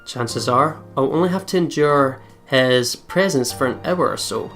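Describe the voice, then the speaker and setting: A man's voice: medium pitch, lively intonation, a little slow, gravelly, fairly bright tone. A man hosting a let's play, switching between reading story text aloud and chatty asides, with game music behind. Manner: giggly, chatty asides between read passages. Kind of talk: reading visual novel text aloud mixed with casual chat. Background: game background music.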